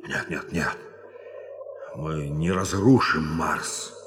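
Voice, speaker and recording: An actor in a radio drama playing an idealistic, authoritative spaceship captain with a deliberate, emphatic delivery. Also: male voice, a bass voice, noisy background, full character voice. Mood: earnest, hopeful. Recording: reverberant hall-like studio radio play.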